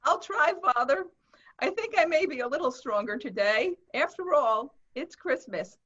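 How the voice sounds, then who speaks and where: Actress as frail kindhearted little boy, high-pitched, hopeful, muffled call audio, holiday drama. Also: lively intonation, moderate pace, a bit bright. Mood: brave, frail. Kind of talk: dramatic table read.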